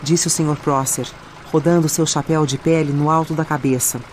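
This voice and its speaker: A woman's voice: sits low, steady tone, fairly quick, darkish tone, slight background noise. A woman with a Brazilian accent reading scripted audiobook narration. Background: music and added sound effects.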